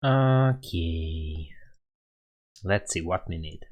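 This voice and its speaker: A man's voice: low-pitched, lively intonation, extremely slow, a little mellow, dull audio. A man with a Romanian accent hosting a live coding stream, thinking aloud and correcting himself as he types. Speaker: coding livestream host. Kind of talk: casual live coding narration.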